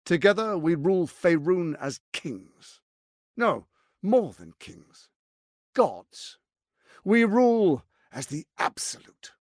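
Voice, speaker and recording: Pompous scheming tyrant with wildly swooping delivery. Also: male voice, sits high, big pitch swings, slow pace, no room sound, clean studio sound, exaggerated voice. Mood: grandiose. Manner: pompous, theatrical, articulate, condescending. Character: scheming, silver-tongued tyrant archduke, grandiose and menacing. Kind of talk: theatrical video game voice acting.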